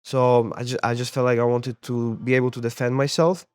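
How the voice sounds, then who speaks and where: Casting: young man from Poland, guest therapist, smooth clear voice, dry close sound, easygoing talk. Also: medium pitch, brisk, dry recording. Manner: relaxed, repeats words while finding them. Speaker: guest, sports therapist and MMA coach. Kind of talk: casual interview talk.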